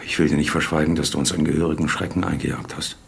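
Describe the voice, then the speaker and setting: An actor playing a reassuring middle-aged professor of medicine in an audio drama, with a gravelly voice and a calm, matter-of-fact manner. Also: male voice, very deep voice, rapid-fire, a little hiss or hum. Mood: matter-of-fact, friendly. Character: reassuring older physician, professor and old friend of patient.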